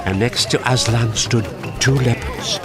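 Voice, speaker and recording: A man narrating a children's story in a measured, descriptive, expressive way. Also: mid-range voice. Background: music.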